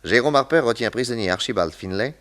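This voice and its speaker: A man playing a shrewd, commanding master detective who explains deliberately in a 1960s radio serial. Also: medium pitch, animated, a bit bright, close to an everyday voice. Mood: confident, reasoning.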